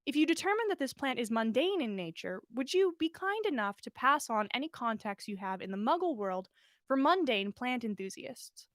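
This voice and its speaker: A woman voicing a polite, formal letter-writer in a story read aloud, courteous and measured. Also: sits high, big pitch swings, no echo, clean studio sound.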